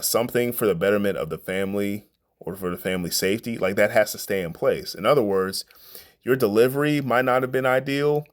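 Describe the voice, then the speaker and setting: A middle-aged man with a deep, bassy voice giving an informal advice monologue, talking conversationally and stressing his points. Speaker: podcast host giving family advice.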